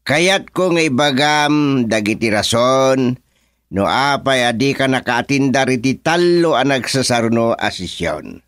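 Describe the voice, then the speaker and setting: A man's voice: mid-range voice, fairly bright tone, no room sound, studio quality. A man playing a stern village chairman, official and interrogating, in a rural radio comedy-drama. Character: stern village chairman questioning an absent councilor.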